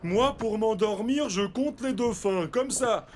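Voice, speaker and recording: Woman as a slow, earnest childlike pirate crewmate. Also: fairly high pitch, a little mellow, slight background noise, lightly acted. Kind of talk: children's animated cartoon acting.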